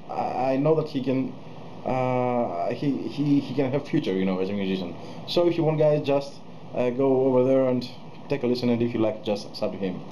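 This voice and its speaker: A man in his thirties, a musician hosting his own channel, talks casually to camera in a small room at night, pausing often with fillers and restarts. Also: mid-range voice, warm tone, muffled recording, slight background noise. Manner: hesitant, many fillers and restarts. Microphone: webcam close to face.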